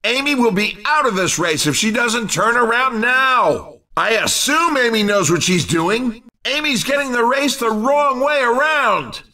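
An actor playing an excitable, wisecracking male commentator in a racing video game, with a playful sports-announcer delivery. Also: extremely high pitch, a little slow, far from the mic, heavily acted. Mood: amused, excited. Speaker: race commentator.

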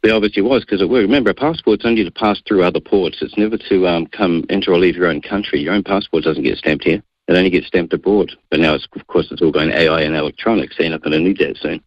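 A man's voice: deep voice, fast talker, hardly any pauses, no room sound, lo-fi sound. A middle-aged man with a New Zealand accent, answering radio interview questions assertively and defiantly. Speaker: guest.

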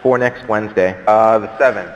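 A man's voice: fairly low pitch, moderate pace. A human-like synthetic man's voice making a casual reservation call as an assistant. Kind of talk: casual phone booking, human-like synthetic speech. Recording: dull phone playback in echoing hall, faint noise.

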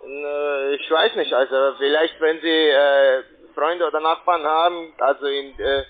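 A man working as a call centre agent, making a halting sales pitch with repeated words over the phone. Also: fairly even intonation. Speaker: call centre agent selling trading investments. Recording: tinny narrowband phone line.

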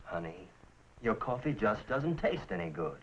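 An actor playing a glum, grumbling young husband with a dry manner in a 1960s TV commercial, on a vintage soundtrack. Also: male voice, lowish voice, sing-song, fast. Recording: dull, faintly noisy vintage film soundtrack.

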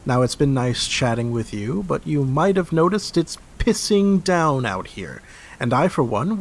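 A man's voice: medium pitch, a little hiss or hum. An actor playing a cocky male street mugger in an audio drama, mock-polite and threatening.

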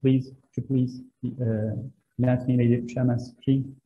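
A man's voice: mid-range voice, flat delivery, takes it slowly. A young man presenting at a conference over a video call, polite and hesitant. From Turkey. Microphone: wired earbuds on video call. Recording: muffled video call with faint noise.

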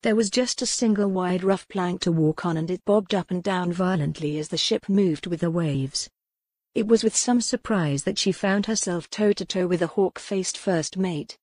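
A woman's voice: lowish voice, moderate pace, a little mellow, no room sound. A woman reading scripted narration as an audiobook narrator of historical fiction.

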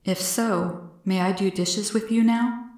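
A woman's voice: medium pitch, steady tone, takes it slowly, a little mellow, reverberant. A woman voicing a curious, blunt but polite male alien with formal, literal phrasing in a storybook. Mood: gently inquiring. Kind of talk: storybook character voice.

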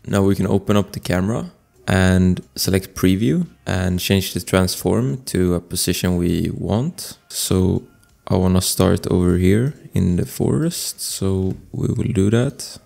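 A man presenting a casual software tutorial as a voice-over, explaining informally step by step into a close microphone. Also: sits low, a little slow, gravelly. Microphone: close desktop microphone voice-over. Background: music.

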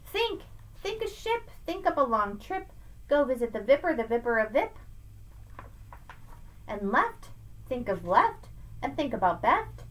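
A middle-aged woman speaks as a teacher to young children, as if in a storybook lesson at home. Her voice sits fairly high and moves in big, animated swings. She leans on key words expressively, picked up by a webcam in a small indoor room. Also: unhurried, long pauses, a little hiss or hum, rough recording. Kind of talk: read-aloud lesson for children, rhyming picture book. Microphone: webcam at arm's length.

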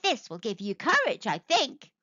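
A woman's voice: on the high side, huge pitch swings, moderate pace, sharp tone, no room sound, lo-fi sound, full character voice. A woman voicing a kindly old male wizard in a storybook, reassuring and instructive.

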